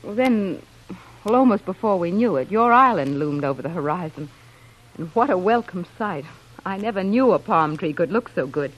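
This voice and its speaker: A woman's voice: lowish voice, animated, normal speed, highs cut off. An actress playing a sweet, youthful castaway widow with a ladylike, wistful manner in a classic radio drama. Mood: wistful, poised.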